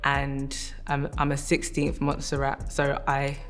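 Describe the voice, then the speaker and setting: A British woman talks casually as an interviewee, chatty and amused at herself. Her intonation stays quite flat, and faint music plays behind her. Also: extremely low pitch, unhurried. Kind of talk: casual personal anecdotes.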